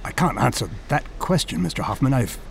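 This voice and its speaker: An actor playing a courteous, steady ship's officer in a period audio drama, measured and formal. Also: male voice, mid-range voice, vocal fry, slight background noise. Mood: concerned, reflective.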